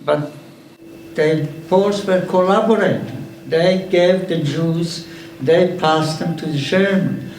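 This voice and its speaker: Gravelly older man. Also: high-pitched, a little mellow, a little hiss or hum. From Slovakia. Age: 80s. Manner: halting, with frequent pauses and fillers. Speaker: guest speaker. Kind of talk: public talk answering questions. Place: public event room. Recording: echoey hall from far off.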